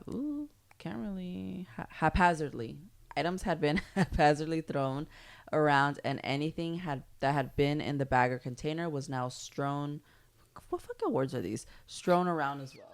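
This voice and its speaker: Woman co-host, Spanish first language, deep voice, casual reader who trips over words and swears. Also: clean tone. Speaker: podcast co-host. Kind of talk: reading a story aloud, then casual chat.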